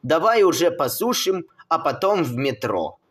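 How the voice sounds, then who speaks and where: A man's voice: fairly high pitch, big pitch swings, unhurried. A man doing amateur cartoon voice acting, with theatrical exclamations.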